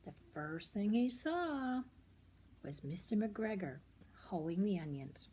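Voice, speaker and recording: An older woman with a warm, relaxed tone reads a children's story aloud. She is expressive, often drops to a hush, and adds simple asides for young listeners. Faint noise sits behind her voice. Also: medium pitch, takes it slowly. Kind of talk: picture-book read-aloud with asides.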